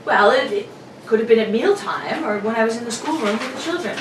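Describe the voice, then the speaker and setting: A distraught young governess played by a woman pleads her case in old radio drama style. Her voice is fairly high, halting and melodramatic, picked up from a distance in a roomy space with noticeable noise. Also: expressive, natural voice. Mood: earnest, insistent. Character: distraught, earnest young governess accused of murder. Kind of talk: 1940s radio drama acting.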